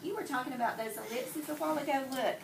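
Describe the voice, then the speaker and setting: A woman teacher reading a picture book aloud to young children in an animated storytelling manner, prompting them with questions and repeating their answers. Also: high voice, fast talker, gravelly. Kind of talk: expressive read-aloud with questions to pupils. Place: indoor classroom. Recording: roomy, noisy classroom, distant microphone.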